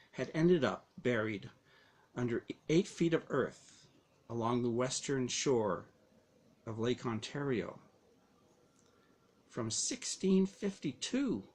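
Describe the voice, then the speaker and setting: A man narrates a scripted first-person story at an unhurried pace. His mid-pitched voice moves widely up and down, and he shapes each phrase deliberately, with a dramatic storyteller's touch. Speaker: author reading own story.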